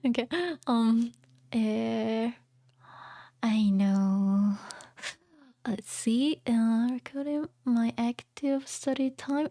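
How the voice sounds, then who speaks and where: A woman whose first language is Japanese, speaking English with a fairly high voice. She talks through a lesson on a casual livestream, loose and chatty, padding her sentences with fillers. Her tone stays encouraging as she answers viewers. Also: a little mellow. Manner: chatty, full of "you know" and "um", encouraging. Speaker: Japanese teacher streaming a manga reading.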